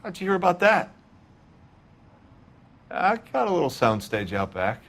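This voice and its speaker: An actor playing a startled, shifty 1940s prop store owner in a crime game. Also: male voice, sits high, very animated, measured pace, creaky, fairly bright tone, exaggerated voice.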